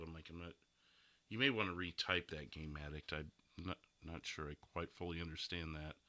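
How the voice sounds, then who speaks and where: Male streamer-host, deep pitch with a sharp, forceful edge, thinking aloud in relaxed chat, dry sound. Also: no room sound. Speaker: podcast host and game streamer. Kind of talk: casual podcast chat.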